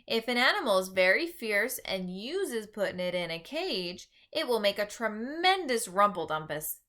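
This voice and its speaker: A woman voicing an earnest, easily exasperated gentle male giant in a children's storybook, speaking emphatically in folksy broken grammar with invented words. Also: mid-range voice, big pitch swings, moderate pace. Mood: explaining. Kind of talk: read-aloud children's storybook.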